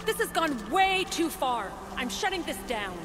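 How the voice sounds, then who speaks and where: A woman's voice: high-pitched, big pitch swings, measured pace. An actress playing an alarmed woman taking charge in a video game, urgent and scolding. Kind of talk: video game cutscene acting. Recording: loud music under the voice. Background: music.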